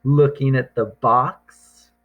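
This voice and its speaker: A man reading a picture book aloud to young children, deliberate and expressive, drawing out words and pausing for drama. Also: medium pitch, narrow, tinny audio.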